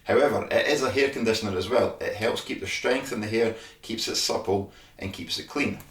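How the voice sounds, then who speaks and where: A man's voice: fairly low pitch, a little fast. Scottish man, chatty demo, echoey room, mic a bit far off. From Scotland. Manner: chatty, frequent "all right?" tags. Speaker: beard care brand host. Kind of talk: casual product demonstration.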